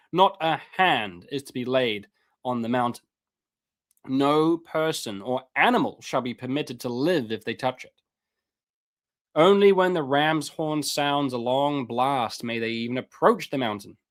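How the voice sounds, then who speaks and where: A man on a live stream reading Bible passages aloud and commenting on them, with casual asides and his voice going hoarse. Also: animated, a little slow, a bit bright. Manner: reads scripture aloud then comments, rises in pitch when fervent; casual asides, repeats words, clears throat. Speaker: streamer teaching on scripture.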